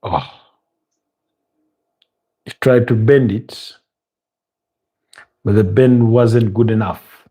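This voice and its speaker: A man's voice: fairly low pitch, drawn-out words, pauses often, poor audio. A man from Uganda with a Ugandan English accent, chatting casually and commenting on a match live as host, with frequent ums and uhs. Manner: relaxed, conversational, many ums and uhs. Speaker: watch-along host.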